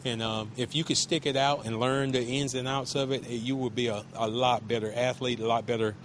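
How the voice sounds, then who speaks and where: Loud, emphatic man coaching. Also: medium pitch, flat delivery, a little fast, slight background noise. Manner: emphatic, repeats catchphrases, many restarts. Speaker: wrestling coach. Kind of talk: casual interview.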